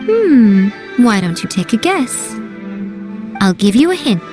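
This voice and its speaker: Sly shrine priestess, teasing, superior, lively pitch, faint music behind. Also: female voice, unhurried. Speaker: head of a publishing house. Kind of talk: video game voice acting.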